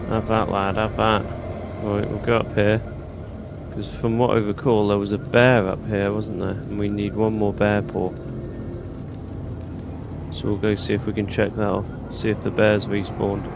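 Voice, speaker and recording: A man's voice: lowish voice, monotone, normal speed, gravelly, noisy background. A British man doing casual gameplay commentary, chatty and informal as he muses to himself. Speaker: gaming host.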